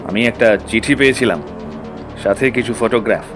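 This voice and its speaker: A man's voice: lowish voice, moderate pace, lightly acted. Stern policeman, acted by a man. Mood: questioning, guarded. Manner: officious, confrontational. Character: stern, indignant male police officer, easily affronted. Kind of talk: audio drama acting. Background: background music.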